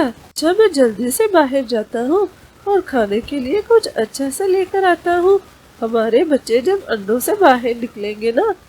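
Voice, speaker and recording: Woman as a kindly, high-voiced father rooster. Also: darkish tone, poor audio. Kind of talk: cartoon storybook voice.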